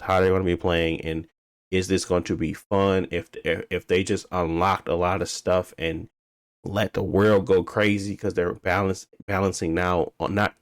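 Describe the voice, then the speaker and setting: A man with a deep voice co-hosts a gaming podcast. He talks in a loose, informal way, working out his thoughts as he goes. The sound is dry, with little room around it. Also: no echo. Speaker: podcast co-host. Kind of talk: casual video game discussion.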